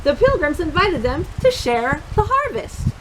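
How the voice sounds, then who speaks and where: A woman with a high, very animated voice reads a children's storybook aloud. Her delivery swings widely in pitch, and she speaks directly and encouragingly to little listeners. A steady hiss runs behind her voice. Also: measured pace. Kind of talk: animated picture-book read-aloud with questions to young listeners.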